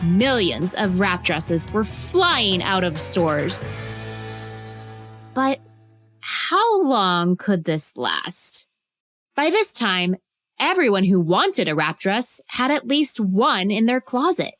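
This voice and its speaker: A woman narrates a scripted story with deliberate emphasis and dramatic pauses. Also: mid-range voice, sing-song, a bit bright, dry recording. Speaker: narrator and host of a children's biography podcast. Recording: music bed behind the voice.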